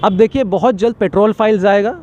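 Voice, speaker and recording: A man's voice: high voice, fast talker, darkish tone, dull audio, a little hiss or hum. A man giving an impassioned street interview, talking emphatically in long repetitive lists and stammering when agitated.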